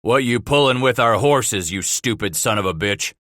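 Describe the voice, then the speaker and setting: Guy putting on a gruff drawling gang boss, threatening, bright and dry. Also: on the low side, normal speed, no room sound, natural voice. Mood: menacing. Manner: gruff, menacing calling out. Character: threatening frontier horse-thief boss, man.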